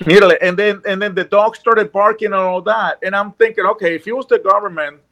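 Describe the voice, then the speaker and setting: A man's voice: high-pitched, fairly even intonation, brisk, sharp tone. A man from Puerto Rico with a Puerto Rican accent, a guest talking earnestly over a video call and often restarting his sentences. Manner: earnest, rambling, frequent restarts. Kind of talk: casual chat.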